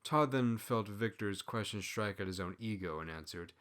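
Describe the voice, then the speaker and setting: A man's voice: lowish voice, normal speed, fairly bright tone. A man reading his own story aloud as scripted narration.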